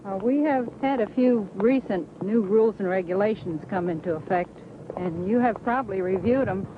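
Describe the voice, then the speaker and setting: A woman flight instructor giving calm, casual guidance to a pilot during a flight. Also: medium pitch, moderate pace. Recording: lo-fi film audio, loud aircraft noise. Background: loud engine drone.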